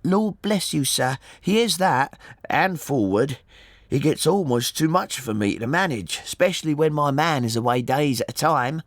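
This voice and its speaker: Man putting on a high-pitched, fretful working-class wife. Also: lively intonation, moderate pace, no room sound, studio quality, close to an everyday voice. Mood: fond. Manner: chatty, colloquial, deferential, saying sir often. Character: anxious, talkative working-class married woman, stoutish. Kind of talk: audiobook character voice.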